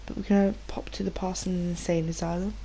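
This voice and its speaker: A woman's voice: fairly low pitch, flat delivery, fairly quick, warm tone, a little hiss or hum, poor audio. A British woman giving casual, rambling gameplay commentary, informal and sweary, sounding slightly nasal from a cold. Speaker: gaming video host.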